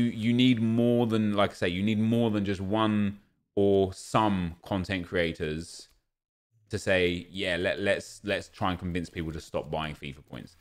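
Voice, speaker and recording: A man in his thirties, a gaming content creator, talking earnestly over gameplay at home and often stumbling over repeated words. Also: on the low side. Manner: earnest, ranting, repeats words when restarting. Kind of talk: casual commentary over gameplay. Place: home streaming room.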